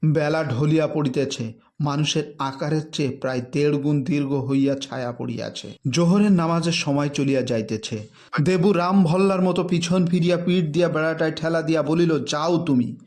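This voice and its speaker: A man with a somewhat high voice narrates dramatic literary prose. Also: normal speed, darkish tone. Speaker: narrator reading a literary short story.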